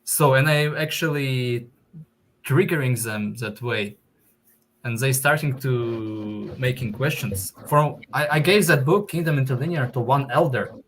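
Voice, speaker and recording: A young man from Kazakhstan chatting as a guest on a call, in halting English full of fillers. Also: mid-range voice, fairly bright tone, slight background noise, low-quality recording. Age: young adult. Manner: halting, filler-heavy non-native English. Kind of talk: casual video call chat.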